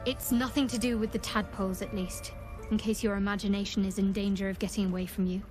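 A woman voicing a guarded, wry adventurer in a video game, with a dry, measured way of talking. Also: mid-range voice, steady tone, music in the background, close to an everyday voice. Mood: guarded calm.

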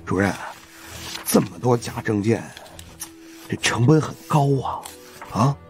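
A man's voice: medium pitch, lively intonation, measured pace, soft background music, pitched above the performer's own voice. A man voicing a cheeky, nosy fat junior investigator with a whiny, exaggerated delivery in an audiobook. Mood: teasing.

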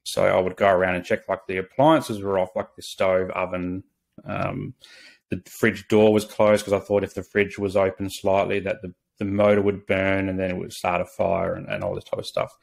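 A man's voice: deep voice, little pitch movement, moderate pace, gravelly. An Australian man in his thirties, a social worker, earnestly telling his own story on a video call with many restarts and fillers. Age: 30s. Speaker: podcast guest, social worker. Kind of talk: casual interview, personal story. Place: small home office. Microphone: video call webcam.

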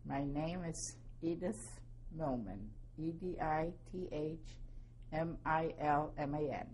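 A woman in her seventies from Poland, giving oral history testimony slowly and carefully, spelling out names. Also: deep voice, a little mellow, a little hiss or hum, rough recording. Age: 70s. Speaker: interviewee.

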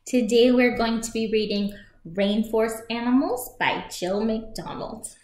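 A woman in her thirties reading a picture book aloud for young children as a storytime host, expressive and clearly paced. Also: on the high side, measured pace. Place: small room at home, indoors by a shuttered window. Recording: echoey home room.

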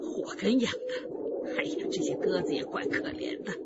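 A woman's voice: moderate pace, dull audio, very noisy, natural voice. An actress playing an elderly, chatty neighbour woman who sighs and complains in a radio drama. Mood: grumbling.

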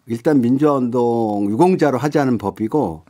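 A middle-aged man explaining things as a radio interview guest in a studio, often pausing mid-sentence. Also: mid-range voice, mellow. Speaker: interview guest, activist group chair. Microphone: studio condenser microphone up close.

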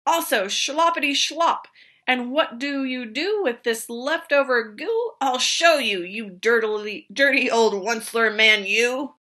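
A woman voicing a fussy old male forest creature who scolds with a querulous, coughing croak. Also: sits high, expressive, slow pace, pushed and bright, pitched above the performer's own voice, full character voice. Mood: indignant.

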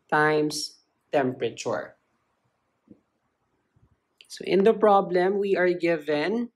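A woman's voice: deep voice, darkish tone. A woman tutor narrating a step-by-step lesson in simple, explanatory phrasing. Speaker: chemistry tutor.

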